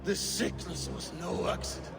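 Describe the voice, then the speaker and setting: An actor playing an old, sick, paranoid Viking king who shouts accusations with furious contempt in a game cutscene. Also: moderate pace, over loud music, lightly acted. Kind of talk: video game cutscene acting.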